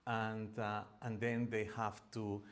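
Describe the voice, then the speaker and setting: A man with a Peruvian accent presents at an academic seminar, his voice sitting a little low. He speaks in a halting way, filling pauses often and restarting his phrases as he explains. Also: flat delivery. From Peru. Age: middle-aged. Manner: hesitant, many fillers and restarts.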